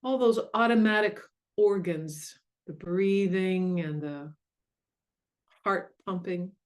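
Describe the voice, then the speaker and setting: A woman leading a guided meditation in a gentle, soothing, unhurried way with long pauses. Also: mid-range voice. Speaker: meditation guide and mindfulness coach.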